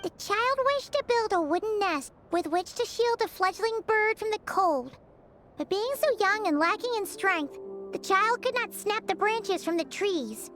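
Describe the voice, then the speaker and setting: A woman voicing a small, childlike fairy companion in an anime game, expressive, squeaky and blunt. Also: a little slow, music in the background. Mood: reading aloud. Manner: exclamatory, expressive.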